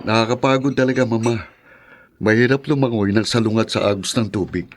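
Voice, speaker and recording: A man playing a combative, self-righteous younger brother and mayor, accusing and forceful, in Filipino radio drama style with a Filipino accent. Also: medium pitch, steady tone, a little fast, lightly acted.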